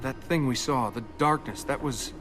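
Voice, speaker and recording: A man playing a cocky young adventurer in a video game, sarcastic and flippant, with loud music behind him. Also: mid-range voice, normal speed, close to an everyday voice. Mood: questioning. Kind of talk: video game cutscene acting.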